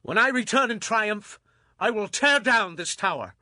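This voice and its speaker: Stern battle-weary israelite commander, high tense voice, very dry sound, audio bible drama. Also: male voice, animated, normal speed, no echo, full character voice. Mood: angry, threatening. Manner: forceful, declamatory.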